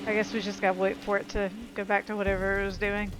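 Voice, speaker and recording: A woman's voice: mid-range voice, level tone, fast, background noise. A woman giving casual, informal video game commentary, talking to viewers as she plays. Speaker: gameplay commentator. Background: game music and sound effects.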